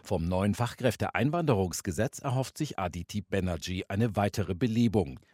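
A man's voice: on the low side, lively intonation, normal speed, a little mellow, no room sound, studio quality. A male radio correspondent narrating a news report and voicing translations evenly.

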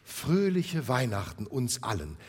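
A man voicing a humble, kindly clerk and father, gentle and placating. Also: medium pitch, wildly swooping pitch, measured pace, breathy edge, long reverb tail, heavily acted. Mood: toasting.

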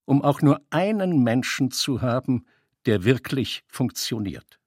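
Slow, husky male narrator with lively pitch. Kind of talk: scripted literary radio narration.

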